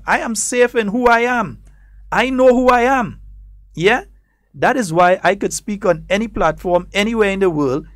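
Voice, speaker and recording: A man's voice: high-pitched, fairly bright tone, no room sound. A man with a Trinidadian Grenadian accent, hosting a political talk show in a studio, emphatic and defiant, repeating points for effect. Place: radio studio.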